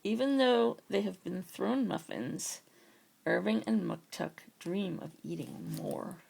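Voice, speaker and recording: A woman's voice: medium pitch, lively intonation, takes it slowly, creaky, a little mellow, slightly rough, poor audio. A woman reading a children's storybook aloud as a parent does at bedtime.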